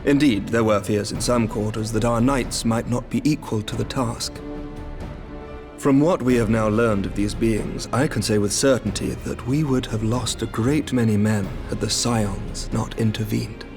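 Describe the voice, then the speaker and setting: An actor playing a shrewd, composed middle-aged knight commander who speaks formally and measuredly in archaic diction. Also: male voice, on the low side, moderate pace, over music, lightly acted. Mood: calm, diplomatic. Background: orchestral game score.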